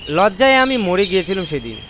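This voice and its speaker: A man's voice: high-pitched, sing-song, quick pace, a bit bright, a little hiss or hum. A man narrating a first-person horror story in a dramatised audiobook style. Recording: constant nature ambience effects behind voice.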